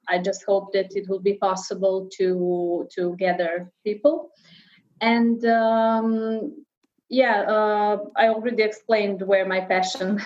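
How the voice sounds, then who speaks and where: A woman answering webinar questions as a festival founder, speaking carefully and pausing often to find her words. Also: medium pitch, a little mellow, dull audio. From Serbia.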